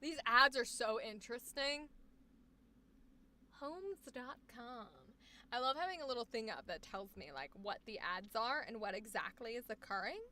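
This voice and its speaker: A woman coaching voice actors on a live stream, reacting with effusive exclamations and quick asides. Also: a little high, expressive, sharp tone, slight background noise. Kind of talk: live stream commentary on student recordings.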